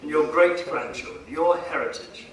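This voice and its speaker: A man delivering a formal public speech as a guest speaker, emphatic and rhetorical, pausing for effect. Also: on the high side. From India. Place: conference hall, addressing a seated audience. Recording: echoey hall, distant mic, faint noise.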